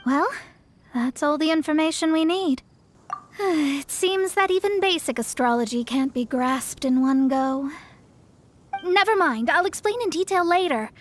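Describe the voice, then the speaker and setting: A woman's voice: high voice, animated, measured pace. A young woman voicing a proud female astrologist in a game cutscene, poised and lofty with a slightly condescending manner. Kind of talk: video game cutscene voice acting. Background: soft game music.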